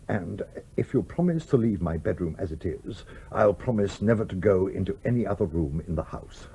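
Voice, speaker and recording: An actor in a radio play voicing the blustering, bossy ghost of a sea captain, with old-fashioned oaths and an exasperated, commanding manner. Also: male voice, lowish voice, vocal fry, dark tone, breathy edge, full character voice.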